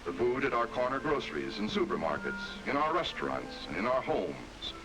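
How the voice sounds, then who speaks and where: A man's voice: medium pitch, very animated, fairly quick, over music, poor audio. An American man reading scripted 1960s documentary narration with an emphatic, authoritative delivery on an old film soundtrack.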